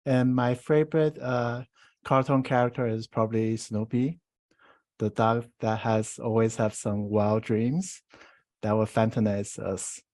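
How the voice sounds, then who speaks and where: Male participant from Taiwan, unhurried, medium pitch, dry sound. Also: fairly even intonation, a little mellow, no room sound. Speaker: meeting participant. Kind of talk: informal self-introduction.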